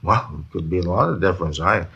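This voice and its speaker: Shifty middle-aged barfly, husky and gravelly, stalling and repeating himself, old radio crime drama. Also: male voice, deep voice, expressive, quick pace, fairly bright tone, heavily acted. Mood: sly, wheedling. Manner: hesitant, repetitive, cagey. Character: evasive middle-aged barfly angling for a payoff. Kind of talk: 1950s radio drama acting.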